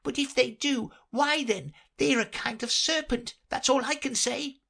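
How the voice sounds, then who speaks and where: A woman's voice: a little slow, creaky, fairly bright tone, heavily acted. A woman voicing a fretful female pigeon that shrieks and complains. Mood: indignant. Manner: shrill, accusing, sobbing complaints.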